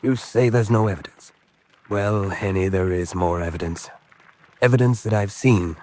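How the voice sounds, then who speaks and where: A man's voice: fairly low pitch, moderate pace, darkish tone, lightly acted. A desperate spy on the run, played by a male actor in a radio drama. He is a hunted American man who speaks with urgent, dramatic intensity, as if begging to be believed. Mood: insistent.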